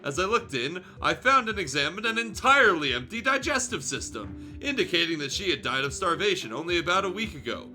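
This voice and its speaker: A man's voice: sits high, big pitch swings, a little fast, few pauses, bright, forceful tone, faint music behind, heavily acted. A man voicing a matter-of-fact, callous dinosaur doctor with formal, clinical delivery in a fan fiction reading. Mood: detached.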